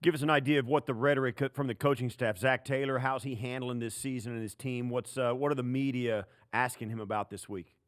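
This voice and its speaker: Male cohost on a sports podcast, average pitch, chatty interview questions. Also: steady tone, a little fast.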